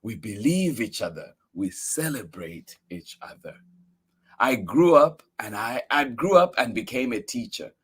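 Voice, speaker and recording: Man reading expressively with very lively pitch. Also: a little slow, breathy edge. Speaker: storyteller reading a picture book aloud. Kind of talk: expressive read-aloud for children.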